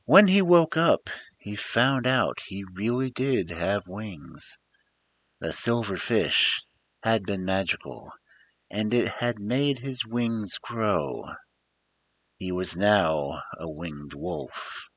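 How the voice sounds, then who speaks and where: A man narrates a tale with a theatrical, emphatic storybook delivery. His voice sits very low and moves at an unhurried pace. The intonation rises and falls widely, as a dramatic storyteller's does. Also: animated, fairly bright tone.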